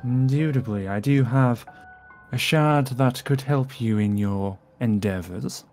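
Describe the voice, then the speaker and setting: A man's voice: mid-range voice, measured pace, a little mellow, soft background music, close to an everyday voice. A man playing a composed, calculating villain in an amateur Minecraft roleplay, formal and polite. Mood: courteous.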